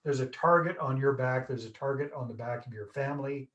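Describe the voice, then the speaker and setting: A man's voice: mid-range voice, a little fast, fairly bright tone, highs cut off. A Canadian man in his fifties, a guest telling stories in a casual, jokey, self-deprecating way over a video call. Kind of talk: casual interview chat.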